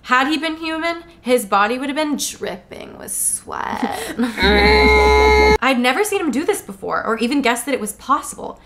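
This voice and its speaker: A woman's voice: sits high, moderate pace, a little hiss or hum. A young woman co-hosting a casual book read-along, reading passages aloud and joking between them. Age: young adult. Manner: animated, giggly, reads aloud then jokes. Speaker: podcast co-host. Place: indoors on a couch.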